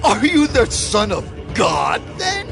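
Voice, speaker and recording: A man playing an outraged, accusing priest in a scripture audio drama, shouting demands in drawn-out phrases. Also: high-pitched, huge pitch swings, vocal fry, music in the background, full character voice. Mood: outraged, demanding.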